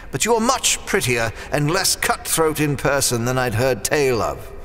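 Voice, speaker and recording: A swooping, high-voiced wounded gentleman adventurer. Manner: halting, groaning, theatrical, formal turns of phrase. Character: wounded, wry, cocky male explorer of privileged upbringing. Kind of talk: adventure audio drama acting.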